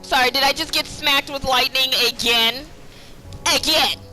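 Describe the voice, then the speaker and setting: A woman's voice: sits high, steady tone, measured pace, bright, forceful tone, poor audio. A woman giving casual, playful, teasing commentary as she plays through a video game. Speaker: gaming video host. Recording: game music behind the commentary.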